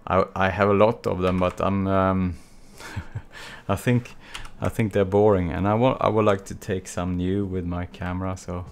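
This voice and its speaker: Deep, gravelly Swedish man, slow and flat. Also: a little hiss or hum. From Sweden. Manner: relaxed, chatty, answers viewer chat between long quiet stretches. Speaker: live stream texturing instructor. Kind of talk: casual live stream chat while working. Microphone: desk mic with webcam.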